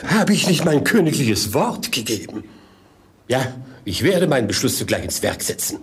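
A man's voice: medium pitch, big pitch swings, normal speed. Pompous, swooping, muddled king. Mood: imperious. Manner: pompous, stammering, repeats himself. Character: foolish, fussy, easily confused king. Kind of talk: German radio play acting.

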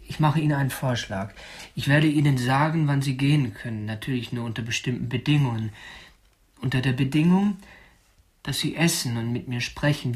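An actor playing a prim, obsessive young kidnapper in a radio drama, speaking in a stiff, formal, matter-of-fact way. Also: male voice, mid-range voice, husky. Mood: earnest pleading. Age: 20s. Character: prim, obsessive young male kidnapper, narrating his deed. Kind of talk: radio drama narration and dialogue.

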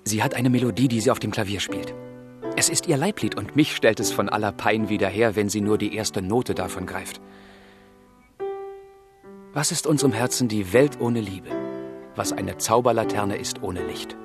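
An actor playing a passionate, lovesick young gentleman in a German radio drama, with a fervent, confiding delivery and a husky voice. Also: on the low side, moderate pace, over music, lightly acted. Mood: rapturous, tender.

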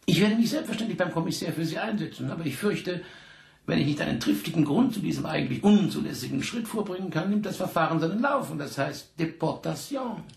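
A condescending, theatrical police investigator of middle age in a radio drama. His highish voice leaps between scorn and mock courtesy, with a gravelly creak. The room around him echoes. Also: lively intonation, fast talker. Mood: mock courteous. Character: sardonic, bullying middle-aged French police investigator. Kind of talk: German radio drama acting.

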